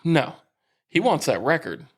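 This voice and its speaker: A man's voice: a little high, big pitch swings, measured pace, husky. A man co-hosting a sports podcast in casual banter, teasing and quizzing as he chats. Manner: teasing, quizzing his co-host.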